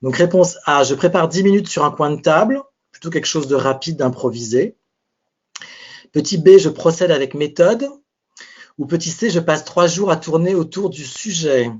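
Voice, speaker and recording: A man's voice: a little high, normal speed, husky. A man presenting a live webinar as a speaking coach, talking conversationally and thinking aloud with restarts. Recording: muffled webinar audio.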